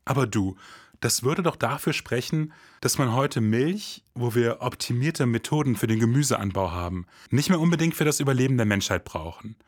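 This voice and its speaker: Male actor as easygoing, idealistic noir detective for audio drama. Slightly rough voice, colloquial, close dry sound. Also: medium pitch, lively intonation, normal speed, dry recording, lightly acted. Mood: casual, questioning. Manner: casual, colloquial, questioning. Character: laid-back male detective, idealistic, hard-boiled noir narrator.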